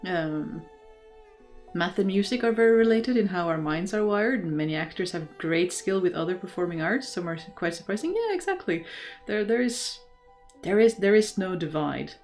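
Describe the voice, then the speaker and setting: A woman's voice: fairly low pitch, animated, moderate pace, a little mellow, soft background music. A woman from Norway, chatting casually and enthusiastically as a livestream host, in a thoughtful, rambling way. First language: Norwegian. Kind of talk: casual chat, reading and answering live comments.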